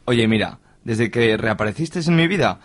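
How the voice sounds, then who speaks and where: A grumbling, stressed middle-aged family man with a Spanish accent from Spain, played by a man in a radio soap. Impatient and fed up. The voice sits mid-pitch. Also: animated, normal speed, lightly acted. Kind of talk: amateur radio drama acting.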